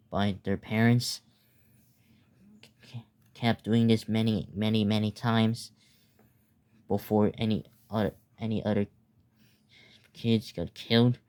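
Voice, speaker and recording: Halting young man, low monotone. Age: teenager or young adult. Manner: halting, stumbling, repeats words. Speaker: narrator. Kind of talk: improvised mock emergency announcement.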